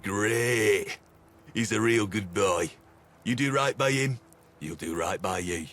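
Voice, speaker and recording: An actor playing a plain-spoken, folksy dog owner in a video game. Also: male voice, lowish voice, takes it slowly, a bit bright, a little hiss or hum. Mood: fond, earnest.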